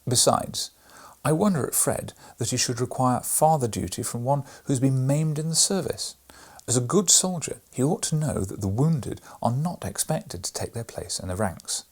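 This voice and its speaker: A man voicing a kindly, firm father in a read-aloud storybook, measured and gentle. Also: medium pitch, expressive, breathy edge, pitched above the performer's own voice.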